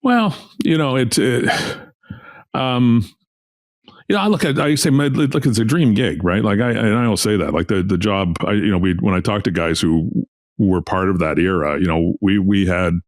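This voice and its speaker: A middle-aged male interview guest talks in a very low voice, recorded close and dry. He reminisces casually and rambles, often falling back on filler phrases. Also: moderate pace, dry recording. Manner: rambling, repeats "you know". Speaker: interview guest, veteran sports journalist.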